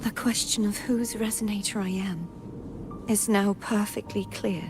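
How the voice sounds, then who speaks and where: An actress playing a solemn young maiden troubled by lost memories in a video game, speaking in a measured, pensive way. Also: female voice, mid-range voice, steady tone, lightly acted. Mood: grave, pensive. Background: loud game music.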